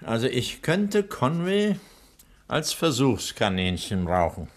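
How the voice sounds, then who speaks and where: A man's voice: medium pitch, sing-song, slow pace. A man playing a vain, menacing surgeon and gang boss in a 1960s German radio crime drama, savouring his words. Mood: scheming, gloating.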